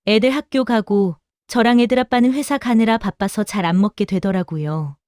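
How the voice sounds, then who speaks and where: A woman's voice: mid-range voice, moderate pace, a little mellow, no echo, clean studio sound, close to an everyday voice. A woman playing a busy middle-aged daughter-in-law in an audio drama, polite but matter-of-fact. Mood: politely dismissive. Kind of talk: Korean audio drama acting.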